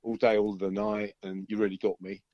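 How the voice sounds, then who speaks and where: Middle-aged male musician, interview guest. Low voice, hesitant rambling chat, muffled close dry recording. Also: little pitch movement, fairly quick, no echo. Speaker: interviewed musician, band member. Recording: dull, lo-fi band-limited line.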